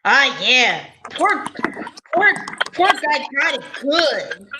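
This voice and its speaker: A woman's voice: high voice, big pitch swings, very slow, heavy background noise, heavily acted. A woman playing an easygoing male homicide inspector, an old pal of the detective, in an amateur old-time radio drama. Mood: familiar.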